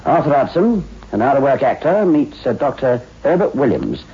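Casting: London police detective, radio play. Brisk, chatty, amused, very animated intonation. Dull old-radio sound. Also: male voice, medium pitch, slightly rough, full character voice. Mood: brisk explaining. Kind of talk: 1960s radio drama acting. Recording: muffled, hissy 1960s radio recording.

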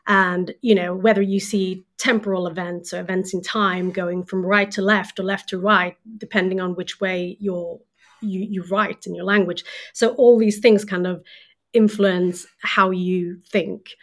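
A woman's voice: medium pitch, level tone, measured pace. Swedish woman explaining, full of ums. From Sweden. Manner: thoughtful, explains with hesitant fillers. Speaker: guest author. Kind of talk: casual podcast conversation.

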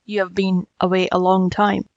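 A woman's voice: mid-range voice, flat delivery, moderate pace, a little mellow, no echo, dull audio, pitched below the performer's own voice, close to an everyday voice. A woman voicing a hungry, impatient male tiger in a storybook reading, blunt, commanding and scornful. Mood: hungry, menacing.

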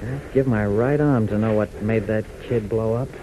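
An American actor playing a brave, level-headed young pilot hero in a 1940s radio drama, plain-spoken and take-charge. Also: male voice, on the low side, moderate pace, dark tone, natural voice. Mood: concerned, resigned. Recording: noisy 1940s radio recording.

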